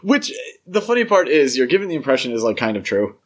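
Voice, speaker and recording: A man's voice: mid-range voice, very animated, quick pace. Wry male podcast co-host. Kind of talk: casual discussion, commentary.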